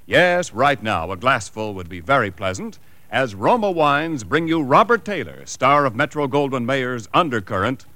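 A man announcing a 1940s radio show in a formal, stately manner, with slow emphasis on key words. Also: medium pitch, very animated, bright, forceful tone, no room sound. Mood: grand, selling. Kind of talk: 1940s radio announcing with wine sponsor ads. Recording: old 1940s radio broadcast, music behind.

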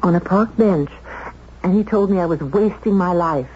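A woman playing a flustered young secretary under suspicion in a 1970s radio drama, halting and emphatic as she protests. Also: lowish voice, a little mellow, close to an everyday voice. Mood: flustered, defensive. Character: flustered young female secretary accused of murder. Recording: dull, hissy vintage radio recording.

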